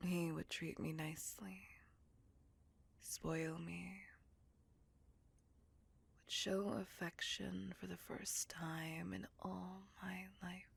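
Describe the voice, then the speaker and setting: A woman voicing a melancholy, bitter female vampire, telling her life story in a breathy, intimate whisper as an ASMR roleplay monologue. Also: on the low side, little pitch movement, heavily acted. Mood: reflective, subdued. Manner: slow, intimate, confessional storytelling. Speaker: voice actor in audio roleplay.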